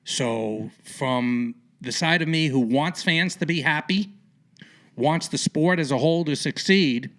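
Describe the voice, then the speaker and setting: A man's voice: on the high side, a little slow, a bit bright. A man hosting a sports podcast, asking rambling, opinionated questions in an informal interview style. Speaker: podcast host interviewing a guest.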